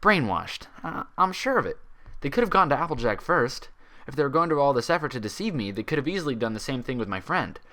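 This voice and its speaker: A man's voice: medium pitch, sing-song, quick pace, pushed and bright, pitched above the performer's own voice. A man voicing an anxious, paranoid young female pony, thinking feverishly, in a storybook reading. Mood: frantic suspicion. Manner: hesitant aloud, feverishly theorizing in thought. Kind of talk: storybook character voice in fan fiction reading.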